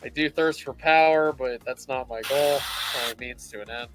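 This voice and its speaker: A man's voice: mid-range voice, moderate pace. A man giving a casual, chatty live tutorial as a stream host, explaining things in an easygoing, informal way. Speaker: host of a livestream painting tutorial. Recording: music playing behind the voice. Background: music.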